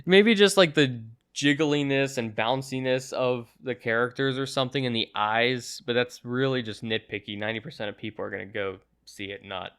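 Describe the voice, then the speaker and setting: A man's voice: medium pitch, a little fast, few pauses, a bit bright, clean tone. A young man giving a casual, conversational movie review, talking in loose, chatty asides. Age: young adult.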